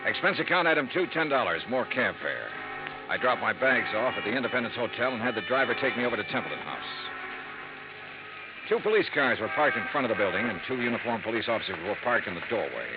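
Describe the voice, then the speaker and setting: Fast, wry radio detective. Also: male voice, mid-range voice, big pitch swings. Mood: brisk narration. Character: brisk, wry freelance insurance investigator. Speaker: lead actor and narrator of a radio detective series. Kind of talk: 1950s radio noir acting. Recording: muffled vintage radio recording with music.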